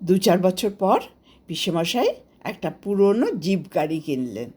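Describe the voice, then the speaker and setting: A woman with an Indian Bengali accent narrating a scripted story of memories in an expressive, unhurried storybook manner. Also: fairly low pitch, slightly rough. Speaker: author narrating her own story.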